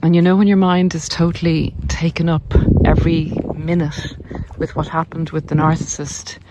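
A speaker talking gently and reassuringly in a reflective walk-and-talk vlog, with unhurried pauses. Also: mid-range voice, flat delivery, lo-fi sound. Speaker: narcissistic abuse recovery coach. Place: outdoors on a country walk. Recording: outdoor noise, birdsong behind. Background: birdsong, outdoor noise.

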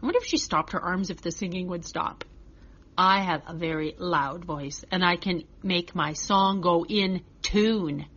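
A woman's voice: lowish voice, measured pace, dull audio. Woman as a chatty, restless little boy. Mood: earnest, chatty. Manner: childlike, earnest, expressive. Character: chatty, restless six-year-old boy in church. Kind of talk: first-person children's story reading.

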